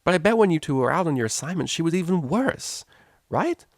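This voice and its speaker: A man's voice: on the high side, sing-song, no room sound, studio quality, pitched above the performer's own voice. A man voicing a brash, scheming young unicorn mare with a slangy, pushy way of talking in a storybook reading. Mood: pushy, faltering. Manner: slangy, pushy, hesitant pauses.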